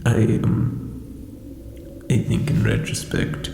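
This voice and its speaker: A man playing an ancient, insecure undead lich king in a comedic audio roleplay, with a breathy croak and hesitant, pompous phrasing. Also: extremely slow, warm tone. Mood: sheepish, explaining. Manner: hesitant, self-doubting, theatrical formal phrasing. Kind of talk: scripted comedic audio roleplay addressed to listener. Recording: reverberant echoey hall, faint background noise.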